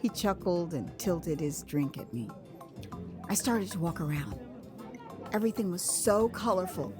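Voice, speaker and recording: An American woman narrates a first-person story in a theatrical, expressive style. She drops in conversational asides as if confiding in the listener. Music plays clearly behind her voice. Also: lowish voice, a little slow. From United States. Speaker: author and narrator of her own memoir.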